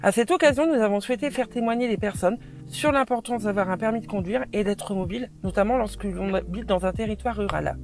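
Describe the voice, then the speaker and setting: A woman coordinator giving a brisk, clear prepared introduction. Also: medium pitch, darkish tone, soft background music. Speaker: mobility platform coordinator.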